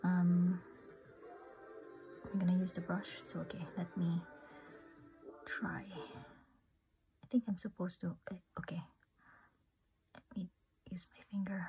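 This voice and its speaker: A woman whispering softly through a makeup demo, gushing with amazement over each product. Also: on the low side, little pitch movement, slow pace, pauses often, highs cut off, soft background music. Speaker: beauty ASMR creator.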